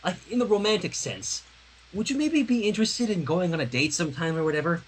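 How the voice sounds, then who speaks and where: A man reading the part of a shy, awkward, lovestruck teenage girl from a fan fiction, speaking haltingly and self-deprecatingly. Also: sits high, animated, slight background noise, rough recording. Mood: nervous, hopeful.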